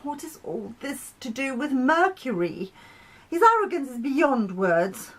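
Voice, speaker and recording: A woman's voice: high-pitched, big pitch swings, measured pace, fairly bright tone, pitched above the performer's own voice, full character voice. A woman voicing a petulant, whiny female moon in a storybook, indignant and full of complaint. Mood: sulky, exasperated.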